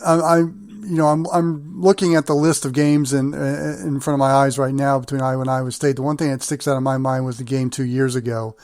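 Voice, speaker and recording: An American man hosting a sports interview, putting his questions in halting, deliberate phrases. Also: medium pitch, level tone, darkish tone, dry recording, studio quality. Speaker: sports show host, interviewer.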